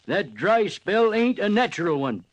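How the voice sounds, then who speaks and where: An actor playing a cantankerous old rural American telegrapher in a 1940s radio drama, folksy and clipped. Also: male voice, high voice, sing-song, measured pace. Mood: folksy, confiding. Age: older. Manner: folksy, clipped, ungrammatical speech.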